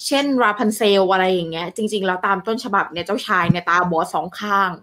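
A woman's voice: medium pitch, steady tone, brisk, fairly bright tone, slight background noise. Chatty woman co-hosting a casual podcast. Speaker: podcast co-host. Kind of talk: casual chat.

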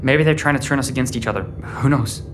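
A stubborn teenage boy with secrets, played by a young man in an audio drama. His voice sits at a medium pitch, over prominent music. He comes across as someone on the run. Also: fast talker, close to an everyday voice. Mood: evasive, reassuring.

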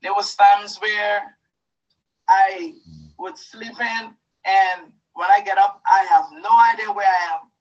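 A woman from Guyana giving a halting, emotional testimony to her church. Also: mid-range voice, fairly even intonation, recorded from a distance, highs cut off. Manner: hesitant, halting, with fillers.

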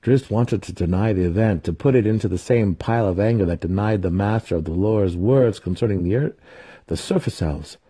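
A man's voice: lowish voice, fairly quick, warm tone, slightly rough. A man reading fantasy fiction as a grave, dramatic scripted narration. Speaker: audiobook narrator.

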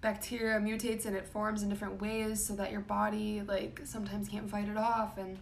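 Young woman, raspy gravelly voice, rambling vlog talk, faint room noise. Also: flat delivery, normal speed. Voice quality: raspy, low voice. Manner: casual, rambling, frequent ums and likes. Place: home room.

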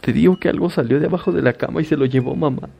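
Hombre imitando a una joven aterrada. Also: male voice, a little high, very fast, creaky, mellow. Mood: terrified. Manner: panicked, stammering, pleading. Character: frightened young woman, a daughter.

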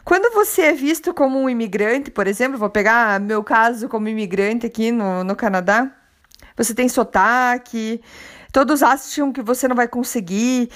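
A Brazilian woman with a high, light voice hosts a motivational podcast on her own. She talks in a loose conversational way, encouraging and reflective, as if speaking straight to one listener. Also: moderate pace, fairly bright tone. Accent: Brazilian Portuguese. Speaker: podcast host.

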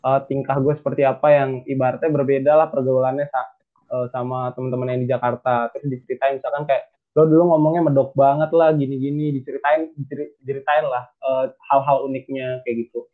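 A man's voice: mid-range voice, flat delivery, fairly quick. A young man from North Sumatra, Indonesia, telling his story casually in an interview, rambling with many fillers and restarts. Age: young adult. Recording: tinny phone-like line.

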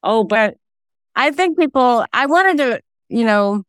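A woman's voice: fairly high pitch, lively intonation, a little slow, clear, resonant tone, dry recording, clean studio sound. A woman answering interview questions in a chatty, informal way, explaining at length as a guest. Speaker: guest, singer-songwriter and self-published novelist.

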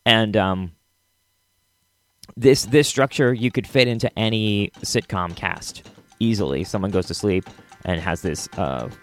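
A man's voice: lowish voice, measured pace, dry recording, soft background music. An American man hosting a podcast solo, chatting informally and enthusiastically. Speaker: podcast host. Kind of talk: casual solo podcast chat.

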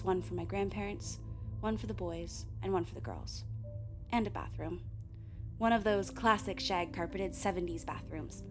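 A woman's voice: mid-range voice, close to an everyday voice. A woman playing a candid, wry, uneasy young filmmaker who narrates a radio play in a confiding way, with tangents and swearing. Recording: music behind the voice.

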